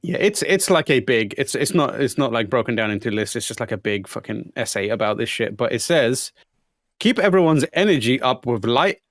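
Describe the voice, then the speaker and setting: Guy podcast cohost chatting loose, sweary, wry, mid pitch, really dry sound. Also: lively intonation, a little fast, no room sound. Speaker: podcast co-host. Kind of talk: casual group chat.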